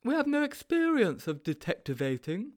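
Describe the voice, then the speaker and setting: A timid, muddled sidekick from a storybook, pitched far up into a high voice. The delivery is plaintive and naive, swooping through admiring, puzzled questions. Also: male voice, wildly swooping pitch, moderate pace, exaggerated voice. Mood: anxious, puzzled. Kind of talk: storybook character voice.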